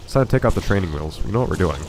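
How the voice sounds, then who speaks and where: A man's voice: sits low, expressive, racing, a little mellow, slight background noise. A man with a stuffy, congested voice giving dry, wry commentary while playing a video game. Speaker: let's play host. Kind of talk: casual gameplay commentary.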